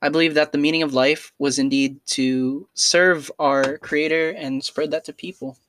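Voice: mid-range voice, steady tone, moderate pace, fairly bright tone, no room sound. A young adult student talking through a casual personal podcast in an earnest, hesitant way, with many fillers and restarts.

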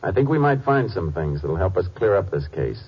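An actor playing a calm, firm Texas Ranger, terse and polite, in a 1950s radio drama. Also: male voice, low voice, brisk, breathy edge, exaggerated voice. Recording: lo-fi old radio, faint hiss.

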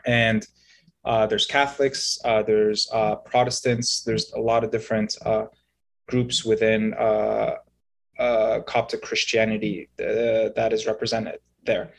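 A man in his thirties, from Egypt and raised in Canada, telling his story as an interview guest in a halting, explanatory way, often checking in with "right?". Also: fairly low pitch, flat delivery, creaky, a little hiss or hum. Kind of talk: conversational storytelling.

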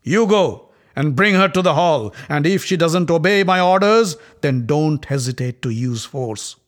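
A man's voice: fairly high pitch, expressive, a little slow, pitched above the performer's own voice. A man voicing an arrogant, impatient prince giving orders in a storybook reading. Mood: commanding.

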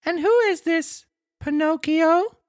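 A woman's voice: animated, measured pace, no room sound, clean studio sound. A woman voicing a dramatic young wooden boy puppet in a storybook reading. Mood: feigned innocence. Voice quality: squeaky. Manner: exclamatory, theatrical storybook delivery.

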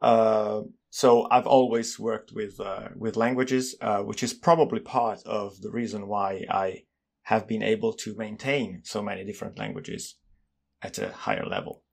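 A man with an Italian accent, a native Italian speaker, answering interview questions in a calm, thoughtful way as he searches for words. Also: fairly low pitch, unhurried, vocal fry. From Italy. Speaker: guest, polyglot and language consultant. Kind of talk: casual interview conversation.

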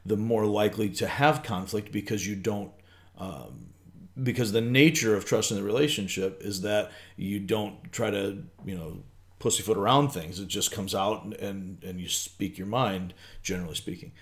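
A middle-aged man with a somewhat low voice talks his way through ideas on a podcast. He restarts often and thinks aloud in a loose, rambling way. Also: moderate pace. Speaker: podcast co-host. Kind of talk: casual faith podcast chat.

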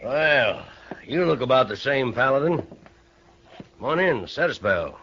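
An actor playing a shrewd, grudge-holding old ranch owner in a radio Western, with a folksy, needling American Western drawl. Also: male voice, medium pitch, big pitch swings, measured pace, a bit bright, slight background noise. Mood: folksy greeting. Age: older. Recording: muffled vintage radio broadcast.

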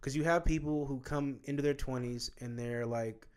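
A man in his late twenties hosting a casual chat, animated and profane, driving his points home with "right?". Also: medium pitch, normal speed, smooth. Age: 20s.

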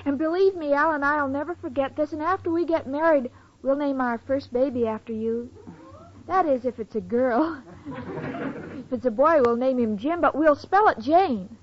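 Sweet, dim, earnest young American girlfriend, played by a woman: high voice, muffled vintage radio comedy. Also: normal speed, natural voice. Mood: delighted, naive. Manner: earnest, guileless. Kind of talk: 1940s-50s radio comedy acting. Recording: muffled noisy old radio recording, live audience.

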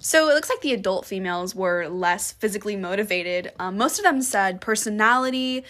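A woman's voice: medium pitch, expressive, fairly quick, a bit bright. Thoughtful young woman co-host. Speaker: show co-host. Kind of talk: casual recap chat.

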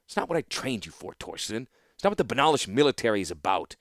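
A man voicing a stern veteran weapons master who gives calm, commanding orders. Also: medium pitch, quick pace, dry recording, studio quality. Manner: calm, commanding, dryly threatening.

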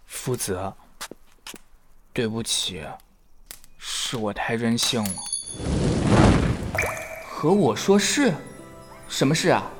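Man acting a slow-spoken, sensitive young fox spirit. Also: mid-range voice, sing-song, over music. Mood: weak, contrite, then puzzled. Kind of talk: ancient-China audio drama acting.

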